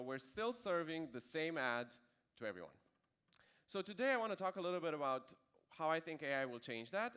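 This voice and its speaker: A man's voice: a little high, a bit bright, clear, resonant tone. A man in his thirties with a Romanian accent, giving a conversational conference talk with dry asides. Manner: conversational with dry jokes and fillers. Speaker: conference speaker. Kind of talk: keynote presentation.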